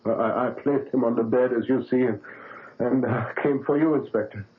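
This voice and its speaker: An actor playing a guilt-ridden older surgeon who stammers and hesitates in a 1970s radio drama. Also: male voice, medium pitch, fairly even intonation, a little fast, natural voice. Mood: anxious. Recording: narrow phone-like old radio broadcast, faint hiss.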